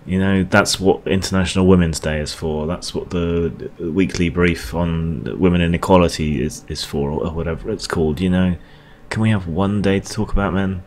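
A man talking alone in a weary, halting monologue with frequent ums and restarts, reacting to a debate for his video channel. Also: low-pitched, darkish tone, a little hiss or hum. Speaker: video blogger.

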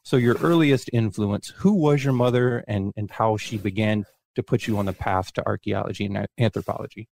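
A male co-host asks his questions hesitantly, with plenty of uhs. His voice is lowish, and the recording is dry and close. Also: moderate pace, vocal fry, darkish tone, no echo. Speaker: co-host interviewer. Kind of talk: interview questions.

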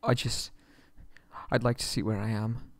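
A man's voice: medium pitch, level tone, normal speed, no echo, close to an everyday voice. A man playing a haughty but frightened young kidnapped prince in an ASMR roleplay audio drama, stammering and pleading, close on the mic. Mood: timid, frightened.

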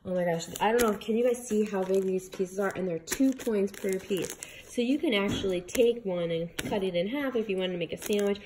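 A woman in her thirties talks casually to the camera in her home kitchen. She rambles and fills pauses with ums as she goes. There is clear background noise in the recording, and her pitch sits in the middle range. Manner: chatty, rambling with fillers. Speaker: vlogger. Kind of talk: casual grocery haul vlog.

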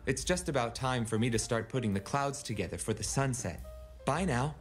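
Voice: medium pitch, expressive, a little fast, soft background music, lightly acted. An actor playing an earnest, aloof young fairy in an English anime dub.

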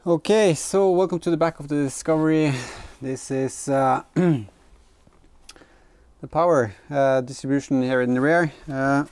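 A man's voice: medium pitch, unhurried, a little mellow, clear, resonant tone. A man in his thirties giving casual, hesitant hands-on commentary, thinking aloud as he works in a garage workshop. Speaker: car DIY vlogger. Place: garage workshop and inside the back of a car.

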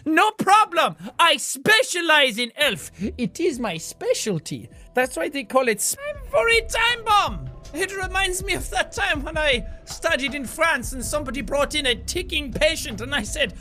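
A man's voice: very high voice, sing-song, moderate pace, hardly any pauses, bright, forceful tone, soft background music, full character voice. A man playing a cocky, foul-mouthed, manic quack surgeon with a mock German accent, theatrical and erratic, in comedic video game commentary. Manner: theatrical, boastful, swearing, erratic outbursts.

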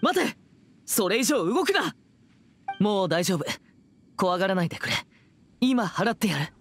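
A man's voice: high-pitched, big pitch swings, measured pace, fairly bright tone, a little hiss or hum, heavily acted. A man voicing a composed, earnest teenage boy exorcist in an anime game, speaking seriously and politely with a slightly stiff manner. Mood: urgent. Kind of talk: anime game character acting.

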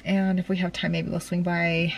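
A woman's voice: fairly low pitch, little pitch movement, normal speed, creaky, slight background noise. A middle-aged American woman chatting casually to viewers, informal and thinking aloud as she goes. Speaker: family vlogger.

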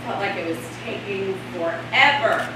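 A woman's voice: medium pitch, a little slow, close to an everyday voice. A woman acting an anxious, hopeful would-be mother in a theatrical stage monologue. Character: anxious, hopeful woman undergoing fertility treatment. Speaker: stage actress. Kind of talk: theatrical monologue before a live audience. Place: small stage before a seated audience. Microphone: far camera. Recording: echoey noisy stage, distant camera microphone.

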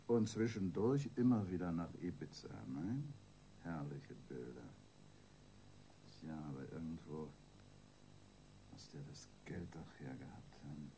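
Seasoned police superior, radio crime drama: male, dark low voice, colloquial, sardonic, musing. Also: unhurried, pauses often, slight background noise, rough recording. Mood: probing. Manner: colloquial, dry, musing, with tag questions.

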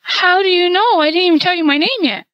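A scambaiter putting on a high, squeaky female voice to needle a phone scammer with mock-innocent questions. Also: quick pace, lo-fi sound. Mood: prodding.

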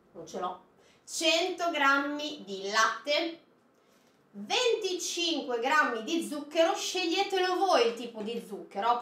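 A woman in her thirties with a high, very animated voice that leaps up and down as she talks. She chats directly to her viewers, asking them questions and handing out recipe tips. Her voice carries a roomy echo from a small indoor set. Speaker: food box presenter. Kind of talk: chatty product unboxing with recipe tips. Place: small indoor studio set with produce crates. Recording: echoey room.

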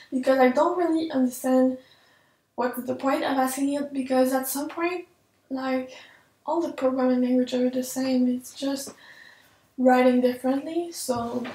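A woman's voice: high-pitched, a little mellow, recorded from a distance. A young woman in her twenties from Quebec with a French-Canadian accent, speaking English as a second language in an earnest, hesitant casual vlog from her home office, with frequent ums as she searches for words. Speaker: tech vlogger. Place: home office with desk and computers, indoors. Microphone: camera mic at desk distance. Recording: echoey room, mic set back.

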